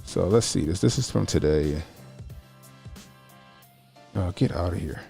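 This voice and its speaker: Gravelly forty-year-old man streaming. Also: low voice, animated, measured pace, warm tone, soft background music. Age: 40s. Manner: loose, slangy, repeats himself. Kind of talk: casual political commentary stream.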